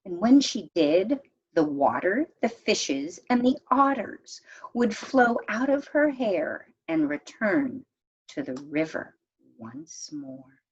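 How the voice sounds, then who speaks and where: A woman reading a children's picture book aloud in a measured, expressive storytime manner, as if to young listeners. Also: lowish voice, husky, dull audio. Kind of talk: read-aloud storytime narration.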